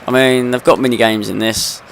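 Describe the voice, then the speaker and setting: A man's voice: medium pitch, steady tone, brisk, creaky, a bit bright, slight background noise. A young man with a slight cold, ranting in casual gameplay commentary and swearing freely. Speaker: gaming video host.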